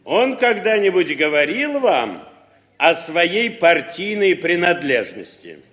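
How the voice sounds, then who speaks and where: Slow, high-voiced prosecutor in echoing court. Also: male voice, sing-song, a bit bright, heavily acted. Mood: formal, probing. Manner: formal, deliberate. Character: formal, probing male prosecutor in court. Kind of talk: Soviet 1960s radio drama acting. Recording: reverberant courtroom-style radio recording.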